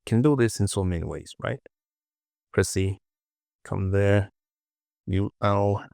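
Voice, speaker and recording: Male tutorial instructor, low and unhurried, informal short phrases, close dry recording. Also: creaky, a little mellow, no echo. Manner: informal, muttered short instructions to self and viewer. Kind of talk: casual screen-recorded software tutorial, talking while working.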